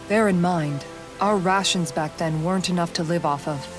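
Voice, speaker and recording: An actress playing an earnest, resolute young woman guard in a video game cutscene, speaking in a measured, formal, sincere way. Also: lowish voice, over music, close to an everyday voice. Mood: relaxed, reminiscing.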